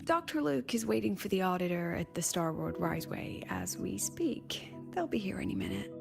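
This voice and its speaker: A woman's voice: lowish voice, very animated, gravelly, full character voice. Weary, wry woman academy president. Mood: businesslike. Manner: sighing, wry, conversational with coy pauses. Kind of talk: video game voice acting. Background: background music.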